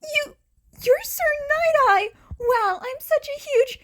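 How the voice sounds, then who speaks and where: Nervous, kind teen boy hero played by a woman, high and swooping, halting delivery, audio storybook. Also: lively intonation, fairly bright tone, heavily acted. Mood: flustered. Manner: halting, earnest, stammering. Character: nervous, soft-hearted teenage boy vigilante.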